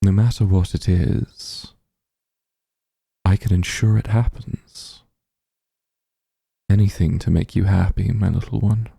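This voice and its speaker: A man playing a doting demon lord in an ASMR roleplay, speaking soothingly and tenderly in a low, husky, gravelly voice. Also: fairly even intonation, slow, long pauses, no room sound, clean studio sound, full character voice. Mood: doting, devoted. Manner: soothing, tender, gently coaxing. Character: doting demon lord, shapeshifted into soft strong form. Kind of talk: ASMR roleplay, intimate comforting monologue.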